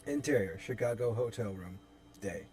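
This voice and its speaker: A man's voice: fairly low pitch, moderate pace, music in the background. A man reading stage directions as the narrator of a horror script in a live table read.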